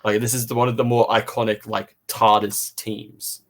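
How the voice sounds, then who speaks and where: A man co-hosting a casual review podcast, talking haltingly and repeating phrases as he thinks aloud. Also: lowish voice, little pitch movement, a bit bright.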